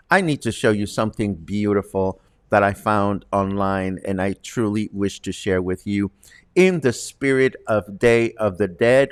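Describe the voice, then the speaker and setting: A man's voice: on the low side, clear, resonant tone, dry recording, clean studio sound. A man presenting as a show host in a chatty, conversational way with wry asides. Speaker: host presenting news and interviews.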